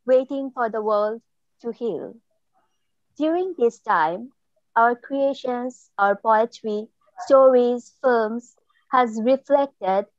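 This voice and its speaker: A woman with a Bangladeshi accent, a writer presenting and reading literary work in a deliberate, measured way over a video call. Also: a little high, darkish tone. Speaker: writer and filmmaker. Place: indoor room, on a video call. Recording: dull, roomy video-call audio.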